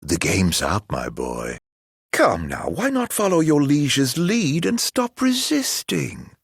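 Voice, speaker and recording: An actor playing a mocking, theatrical immortal villain in a video game, drawling mock-polite taunts in a husky, gravelly voice. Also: male voice, medium pitch, huge pitch swings, takes it slowly, no echo, studio quality, full character voice. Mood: mocking, taunting.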